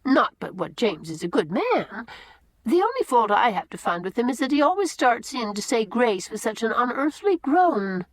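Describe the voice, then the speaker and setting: A chattering, fussy old housekeeper in a storybook, her voice pitched up high and bouncing all over the place, as a woman puts her on. She rattles on in quaint country phrases without pausing for breath. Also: dry recording. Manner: talkative, quaint rural turns of phrase. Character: chatty older female housekeeper, rustic and fussy.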